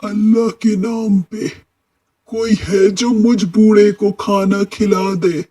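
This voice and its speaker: An old beggar man acted by a woman in a cartoon story. He calls out slowly and pleadingly, like a humble wandering holy man. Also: mid-range voice, level tone, warm tone, breathy edge. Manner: pleading, calling out.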